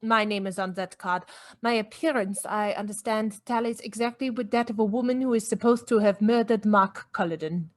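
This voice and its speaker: A woman's voice: mid-range voice, normal speed, close to an everyday voice. An actress playing a proud, defiant Viennese lady in a radio play, speaking formally with poised command. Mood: cool, proud. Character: elegant, imperious Viennese woman, proud and defiant. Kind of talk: dramatic radio-play acting.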